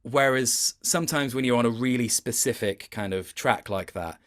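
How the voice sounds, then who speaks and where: A man with a medium-pitched voice chats casually as an interview guest. He sounds like a working actor talking shop, thoughtful and a little self-deprecating, picking his way through each point. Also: normal speed.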